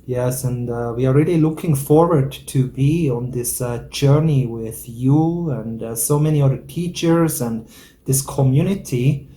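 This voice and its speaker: A man from Switzerland introducing himself and his work as a spiritual teacher, pausing often with "uh". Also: medium pitch, mellow, slight background noise. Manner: slow, many hesitant "uh" fillers. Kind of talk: calm introduction.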